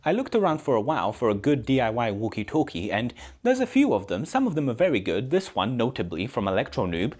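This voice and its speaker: A man's voice: medium pitch, very animated, quick pace, few pauses, darkish tone, slightly rough. A man in his thirties presenting a scripted maker explainer in a dry, tongue-in-cheek manner. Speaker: maker channel host. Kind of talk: scripted explainer narration to camera.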